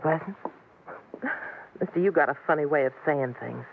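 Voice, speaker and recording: A woman's voice: low-pitched, moderate pace, creaky, a little hiss or hum. A tinny vintage radio recording of a weary, lonesome American frontier woman. She talks in a plain, folksy way, like someone who has had a hard life in the Old West. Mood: rueful. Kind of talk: 1950s radio western acting.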